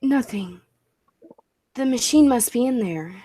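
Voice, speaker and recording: Slow, high-voiced, proud inventor played by a woman. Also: animated, mellow, slightly rough. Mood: alarmed, urgent. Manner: formal, theatrical, deliberate phrasing. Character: Dudley, proud, driven inventor and time traveler. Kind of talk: 1940s-style radio play acting.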